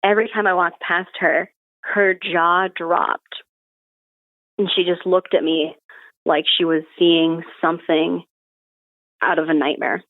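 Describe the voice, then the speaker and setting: Young lady podcast guest chatting casually, lowish voice, sounds like she's on a phone call. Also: level tone, a little slow, long pauses, dry recording. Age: young adult. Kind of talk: casual personal storytelling. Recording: narrow phone-like call audio.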